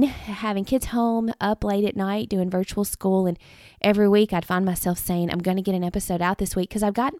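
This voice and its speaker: Lady podcast host, earnest, lots of ums and restarts, slightly rough voice, dry close sound. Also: mid-range voice, dark tone, no room sound. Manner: earnest, rambling with many fillers and restarts. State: recovering from illness, voice a little rough. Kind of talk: casual devotional talk. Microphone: close podcast mic.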